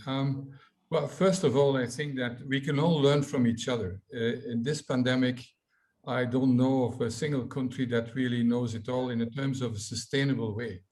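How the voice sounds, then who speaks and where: A man's voice: mid-range voice, darkish tone. A man for whom English is not his first language, answering on a webinar panel in a thoughtful way over a video call. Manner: thoughtful, many fillers and restarts.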